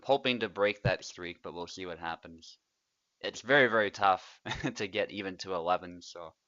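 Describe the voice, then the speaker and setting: A man's voice: low-pitched, normal speed, no echo, highs cut off. A young man, casually commentating a tournament he is also playing in, chatty and laughing often. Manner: relaxed, chatty, laughs often, trails off quietly.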